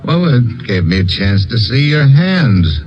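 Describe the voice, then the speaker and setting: An actor playing a wry, confident agent with a dry, wisecracking manner in a 1950s radio drama. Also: male voice, medium pitch, very animated, unhurried. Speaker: investigator and narrator. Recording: dull old radio recording with music.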